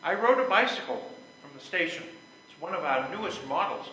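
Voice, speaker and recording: Older man acting a high-voiced, blustering husband. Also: big pitch swings, fairly quick, sharp tone, heavily acted. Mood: defensive, blustering. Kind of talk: amateur readers theater play. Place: indoor live stage reading. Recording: echoey room, faint background noise.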